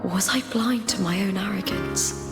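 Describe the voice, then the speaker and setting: A woman's voice: medium pitch, moderate pace, close to an everyday voice. Woman acting earnest young champion over prominent music. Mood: reflective, troubled. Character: earnest young female gladiator champion, soul-searching but resolute. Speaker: video game voice actor. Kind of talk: dramatic game cutscene monologue.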